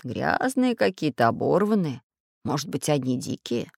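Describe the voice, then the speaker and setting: A woman's voice: low-pitched, very animated, normal speed, gravelly, a little mellow, dry recording, studio quality, full character voice. A woman voicing a learned, fussy, cautious male geography professor in a children's storybook. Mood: wary. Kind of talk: children's storybook character acting.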